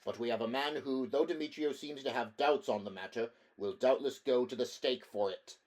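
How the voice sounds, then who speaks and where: A man's voice: mid-range voice, normal speed, darkish tone, lightly acted. A man voicing an impatient, scornful city prefect who roars and growls his orders. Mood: scolding. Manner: roaring, scornful.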